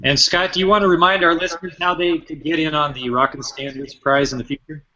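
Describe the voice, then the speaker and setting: Bright, higher-voiced American man hosting a quiz. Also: level tone, quick pace. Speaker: show host and quizmaster. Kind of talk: playful quiz-show interview banter. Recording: dull, band-limited sound.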